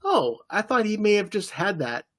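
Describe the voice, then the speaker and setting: A man co-hosting a casual TV podcast, recapping the plot in a relaxed way with playful impressions. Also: high voice, moderate pace. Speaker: podcast co-host. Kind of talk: casual chat about a TV episode.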